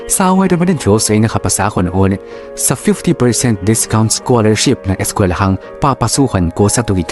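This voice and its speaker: An earnest man, voiced by an actor, tells his life story as radio drama narration. His lowish voice is reflective and measured, with music underneath. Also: a little mellow, close to an everyday voice. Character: earnest adult letter writer recalling hard youth.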